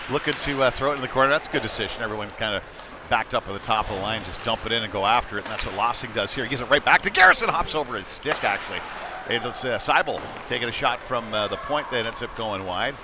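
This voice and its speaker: A man with a mid-range voice gives live hockey play-by-play in a conversational way that turns excitable on big plays. He is at an indoor rink, with clear arena noise and knocks behind him. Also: medium pitch. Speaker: play-by-play commentator.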